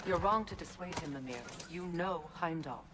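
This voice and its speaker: An actress playing a proud, bitter and ruthless Norse goddess in a video game, speaking formally with a cool, sharp tongue. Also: female voice, on the low side, normal speed, background noise, close to an everyday voice. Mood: cool, insistent. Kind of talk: video game dramatic acting. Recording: game music behind the voice.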